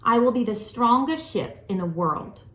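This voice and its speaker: A woman's voice: fairly high pitch, lively intonation, normal speed, a bit bright. A woman voicing an ambitious young male tree in a storybook, boldly and with determination. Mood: bold, eager. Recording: roomy, echoing room sound.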